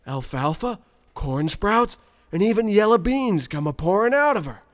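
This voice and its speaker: A man playing a folksy, naively smug country boy who tells his story deadpan, with a rural American accent, in a radio parody. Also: sits high, normal speed. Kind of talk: scripted first-person storytelling, radio parody.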